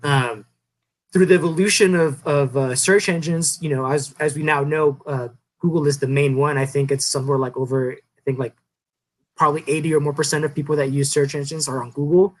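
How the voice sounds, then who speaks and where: A man's voice: a little high, a little fast. Thirty-something man, casual explainer. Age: 30s. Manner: relaxed, explanatory, many fillers and restarts. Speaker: podcast co-host. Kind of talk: casual podcast chat.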